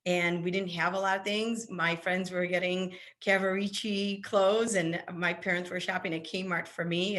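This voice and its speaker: Polish-born woman, low, earnest storyteller. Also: fairly bright tone. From Poland. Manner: earnest personal storytelling with frequent restarts. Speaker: guest, business founder. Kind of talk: interview conversation.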